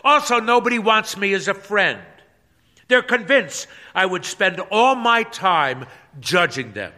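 A man's voice: sits high, animated, a little slow, sharp tone, voice pushed up, exaggerated voice. A man voicing a bitter pastor's wife. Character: pastor's wife, adult woman, hurt and guarded. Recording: long hall reverb.

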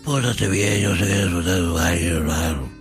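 A man's voice: low voice, brisk, breathy edge, voice pushed down. A man mimicking a nagging old Mexican man, pleading repetitively in a droning way with a Mexican accent. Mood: mock pleading. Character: mocking imitation of a whiny, nagging old man. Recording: music playing behind the voice.

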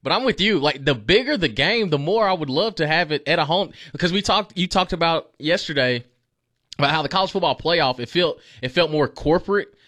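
A man co-hosts casual sports chat in a slightly high voice with a very lively, swinging intonation. He comes across as informal and agreeable, prone to exclaiming. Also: a little fast. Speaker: sports talk co-host.

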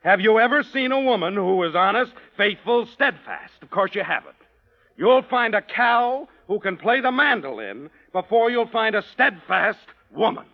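A man's voice: sits high, big pitch swings, normal speed, exaggerated voice. An actor playing a blunt, hot-tempered man who demands his money and mocks a widow, in an old radio play. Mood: scornful. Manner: blunt, boastful, scornful. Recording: dull old lo-fi recording.

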